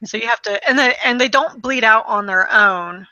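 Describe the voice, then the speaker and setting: A woman talks casually about video games in a fairly high, bright voice. She tells stories animatedly, acting out quotes and swearing freely. The recording is close and dry, a little lo-fi. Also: normal speed, no echo. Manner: animated storytelling with acted-out quotes. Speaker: podcast host.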